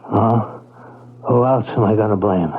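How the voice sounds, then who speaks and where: A man's voice: on the low side, measured pace, breathy edge. An actor playing a desperate, violent working man in a 1970s radio drama, stammering and agitated. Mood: panicked, guilty. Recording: narrow, tinny old radio broadcast.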